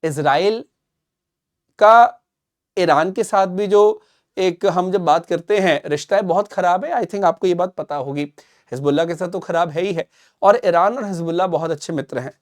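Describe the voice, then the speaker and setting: A man's voice: sits high, normal speed. An Indian man presenting current affairs like a teacher, emphatic and didactic, slipping between Hindi and English phrases. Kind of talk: explanatory news commentary for students.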